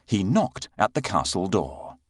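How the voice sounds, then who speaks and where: A man tells a children's fairy tale as narrator. His voice is fairly low and hugely varied in pitch, with unhurried, dramatic phrasing. The sound is dry and close. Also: slightly rough, no echo. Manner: expressive, dramatic storytelling delivery. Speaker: storyteller narrator. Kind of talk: scripted children's bedtime story narration.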